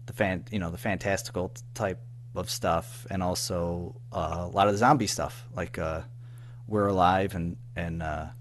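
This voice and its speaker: A man with a fairly deep voice chats as an interview guest. He speaks in a halting way, repeating words and letting phrases trail off. A faint hum sits under the recording. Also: steady tone. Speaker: audio drama writer-producer, interview guest. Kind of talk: casual interview chat. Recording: faint background noise and hum.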